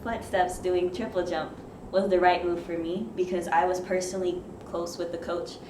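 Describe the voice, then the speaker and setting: A teenage girl from Long Island, New York, answering interview questions in a relaxed, plainspoken way. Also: lowish voice, moderate pace. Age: teens. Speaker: guest, student athlete. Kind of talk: casual interview. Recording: echoey room with noise behind.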